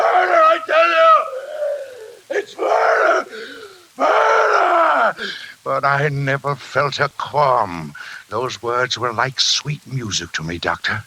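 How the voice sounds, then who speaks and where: A man's voice: extremely high pitch, creaky, bright, forceful tone, breathy edge, exaggerated voice, rough recording. An actor with an American accent playing a troubled, intense psychiatric patient confessing his past in a 1940s radio drama. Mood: agitated, then coldly satisfied. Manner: confessional, melodramatic.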